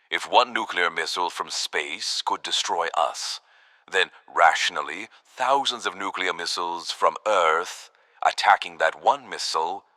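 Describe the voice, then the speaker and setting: A man plays a smug authoritarian president making a statement in an audio drama. His very low voice is forceful and pompous, rising and falling like oratory in a dry recording. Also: dry recording, full character voice.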